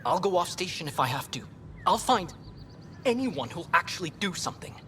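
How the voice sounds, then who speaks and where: Youthful game protester: higher voice, impatient, pleading. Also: male voice, sing-song, a little fast, bright, forceful tone, slight background noise, exaggerated voice. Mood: desperate. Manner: pleading, agitated. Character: desperate, impatient young man protesting. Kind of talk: scripted game dialogue.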